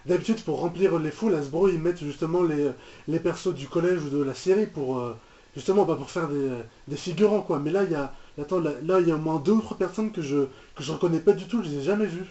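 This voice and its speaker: A man's voice: on the high side, fast talker, dark tone. A man giving casual, off-the-cuff reaction commentary, hesitant, repeating words and trailing off. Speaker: reaction video host. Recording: echoey room, muffled lo-fi microphone.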